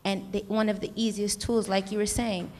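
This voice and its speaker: American woman panelist, earnest and rambling, gravel in the voice, recorded in an echoing hall. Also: medium pitch, level tone, moderate pace, darkish tone. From United States. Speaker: panelist, music educator. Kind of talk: reflective panel discussion. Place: convention hall stage. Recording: long reverb of a large hall.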